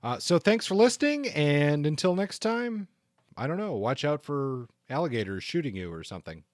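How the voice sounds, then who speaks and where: A man's voice: a little high, big pitch swings, normal speed, dry recording, studio quality. A man co-hosting a podcast, talking through book reviews in a conversational way. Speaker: podcast co-host.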